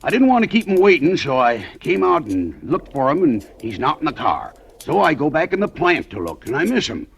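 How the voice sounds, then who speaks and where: An actor playing a fussy older factory worker with a gravelly voice who complains in a folksy way, in a 1940s radio drama. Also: male voice, on the high side, wildly swooping pitch, fairly quick, few pauses, slight background noise, exaggerated voice. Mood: explaining.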